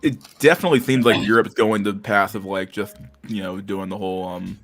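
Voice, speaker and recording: A man's voice: lowish voice, fairly even intonation, a little fast, a bit bright. A man chatting casually as the host of a live stream. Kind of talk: casual chat. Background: background music.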